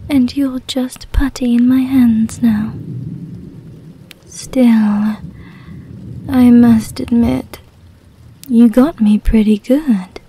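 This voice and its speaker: A woman acting as a smug, seductive female vampire in an ASMR roleplay, very slow and coaxing. Her voice is soft, breathy and darkly mellow, often sinking to a whisper. Faint rain and thunder sit behind her. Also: fairly high pitch, slight background noise. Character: seductive, commanding female vampire, smugly hypnotic.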